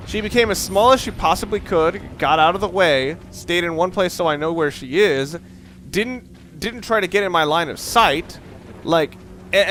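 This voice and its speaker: A man with a fairly high, forceful, bright voice talks through a video game in a casual let's play style. He digresses and halts mid-thought, opinionated and loosely sweary. There is some noise behind his voice. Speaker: let's play gamer commentator. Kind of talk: casual gameplay commentary, opinionated rambling.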